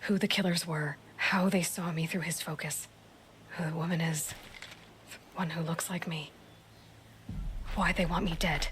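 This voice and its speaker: A woman's voice: fairly low pitch, background noise, lightly acted. An actress playing a determined young woman hunter, speaking tersely in a video game cutscene. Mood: brooding. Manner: terse, resolute, commanding.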